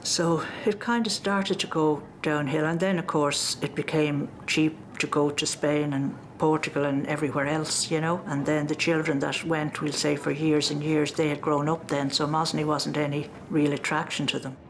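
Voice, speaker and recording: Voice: mid-range voice, level tone, normal speed, hardly any pauses, a little hiss or hum. A middle-aged local with an Irish accent, recalling the past conversationally in a documentary interview. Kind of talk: conversational recollection.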